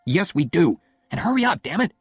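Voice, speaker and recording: A man's voice: medium pitch, very animated, normal speed, slightly rough, dry recording, faint music behind. A man playing a proud, stubborn young law-student husband in an audiobook, curt and heated. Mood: angry.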